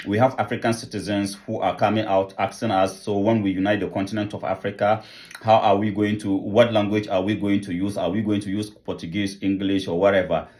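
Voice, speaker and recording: A man's voice: on the low side, little pitch movement, a little fast, darkish tone. A man hosting an online channel, talking earnestly to viewers with repeated rhetorical questions. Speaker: channel host. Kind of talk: vlog commentary, appeal to viewers.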